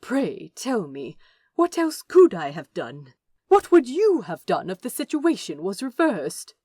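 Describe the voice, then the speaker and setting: A woman's voice: medium pitch, very animated, unhurried, warm tone, husky, dry recording, studio quality. A woman voicing a fussy, self-righteous male angel in a story reading, with a huffy, insistent, scoffing manner. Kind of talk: dramatic fan fiction reading.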